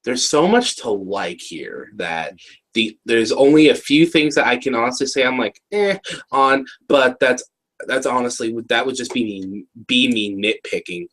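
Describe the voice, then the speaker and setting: A man's voice: medium pitch, animated, a little slow, a bit bright. An American man co-hosting a casual review, talking in a slangy, jokey, exaggerated way. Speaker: review show co-host. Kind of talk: casual joking review chat.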